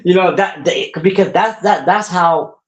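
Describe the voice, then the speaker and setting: A man's voice: high-pitched, unhurried, highs cut off. A man in his forties hosting a podcast, talking casually and upbeat, often repeating his words as he rambles. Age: 40s. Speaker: podcast host and wrestler. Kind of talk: casual solo podcast talk.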